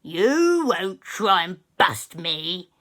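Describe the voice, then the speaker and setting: A woman voicing a grumbling male captain who talks slowly and loudly in old-fashioned speech. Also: lowish voice, sing-song, exaggerated voice. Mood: gruff. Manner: slow, loud, old-fashioned talk. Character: gruff grumbling male pirate-style captain, wary.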